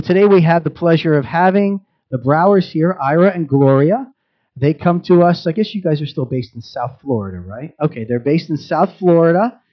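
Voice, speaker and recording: A man leading a Messianic congregation, giving announcements, a prayer and an introduction of guest speakers in a fast, casual way with fillers. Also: fairly high pitch, highs cut off. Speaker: congregation leader.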